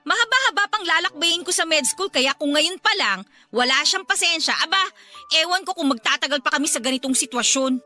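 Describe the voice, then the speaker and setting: A woman's voice: high-pitched, lively intonation, fairly quick, bright, forceful tone. A woman acting a stressed, complaining young student in conversational radio drama dialogue. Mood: exasperated. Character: stressed young female med student and her nagging younger sister. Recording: faint music under dialogue. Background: faint background music.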